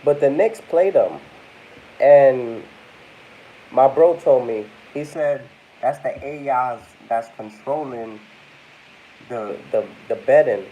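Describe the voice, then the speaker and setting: A man's voice: medium pitch, slow pace, pauses often, narrow, tinny audio. Congested young man chatting casually. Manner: rambling, addresses viewers as y'all. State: congested, under the weather. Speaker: vlogger. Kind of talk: casual talk to camera.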